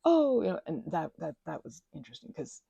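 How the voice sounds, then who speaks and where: A woman with a low, heavily gravelly voice that turns husky and breathy and drops into whispers now and then. She chats in a rambling, giggly way with lots of fillers, and her pitch swoops widely. The sound is close and dry. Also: big pitch swings, brisk, dark tone, dry recording, highs cut off. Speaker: ASMR channel host. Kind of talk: soft-spoken rambling chat.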